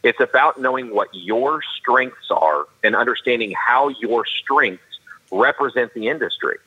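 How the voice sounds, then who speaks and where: A man with a carrying baritone voice, a professional auctioneer giving earnest, detailed interview answers with frequent restarts. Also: animated, a little slow, husky, highs cut off. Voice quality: baritone voice that carries. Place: studio.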